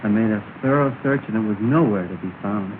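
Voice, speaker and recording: An actor playing an earnest young gentleman who speaks politely and formally in a downcast tone, on an old film soundtrack. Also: lowish voice, a little fast, lightly acted. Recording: tinny, hissy 1930 film soundtrack.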